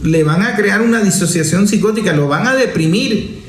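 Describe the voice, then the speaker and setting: A Venezuelan man with a high-pitched voice presents opinion commentary in a roomy, echoing space. He speaks emphatically, building points through lists and rhetorical questions. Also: animated, normal speed. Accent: Venezuelan Spanish. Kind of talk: opinion commentary on media and politics.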